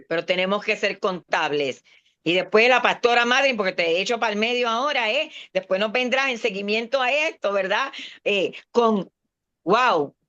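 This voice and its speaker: Woman pastor, earnest sermon, mixes in English, higher voice, muffled recording. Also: a bit bright. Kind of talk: sermon in a class. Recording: dull lo-fi sound.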